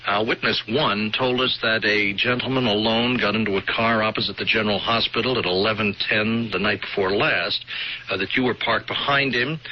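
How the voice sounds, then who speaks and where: An actor playing a plainspoken, persistent state trooper in a radio drama, with a halting, polite, probing manner. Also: male voice, on the low side, natural voice. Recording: dull, slightly noisy vintage radio recording.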